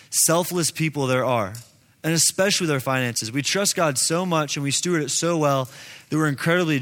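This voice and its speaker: Male preacher, fairly high-pitched, informal and earnest, talking to a congregation like friends. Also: fairly bright tone. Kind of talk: casual sermon to a congregation.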